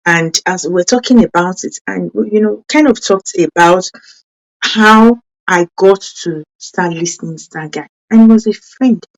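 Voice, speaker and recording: A woman's voice: measured pace, poor audio. Earnest woman, Yoruba-speaking. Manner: earnest, self-correcting, with sudden rises in pitch for emphasis. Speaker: parenting channel host.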